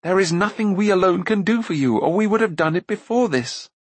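A man's voice: high-pitched, lively intonation, fairly quick, breathy edge, no echo, voice pushed up. A man playing an earnest, anxious young hero in a fantasy audiobook, speaking urgently. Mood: forced patience. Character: earnest young male hero, anxious but trying patience.

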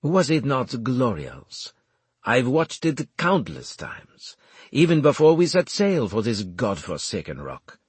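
A man's voice: mid-range voice, huge pitch swings, slow pace, husky, no room sound, full character voice. A man voicing a proud, theatrical military commander in an audiobook, with florid, mocking formal speech. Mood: rapturous. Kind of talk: audiobook character acting.

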